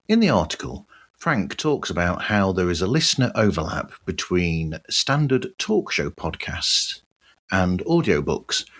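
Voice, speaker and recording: A man with a husky voice hosting a podcast, reading a scripted news roundup with wry, musing asides. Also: deep voice, fairly bright tone. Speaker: podcast host.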